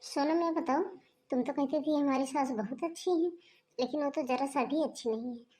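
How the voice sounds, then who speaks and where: A woman's voice: sits high, normal speed, a little mellow, close to an everyday voice. A woman voicing a brash young sister-in-law in a homemade family skit, talking in a blunt, grumbling way. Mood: indignant.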